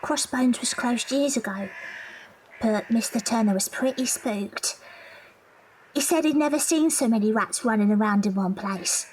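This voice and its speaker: A woman playing an earnest, loyal young Victorian London maid and investigator, deferential and breathy, in an audio drama. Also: a little high, measured pace, a little hiss or hum, natural voice, low-quality recording.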